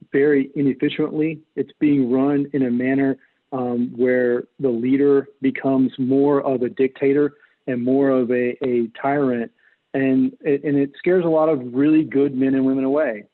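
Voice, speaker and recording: A middle-aged American man giving earnest, measured answers as a political candidate in an interview. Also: mid-range voice, narrow, tinny audio. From North Carolina, United States. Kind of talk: interview answers, campaign talk.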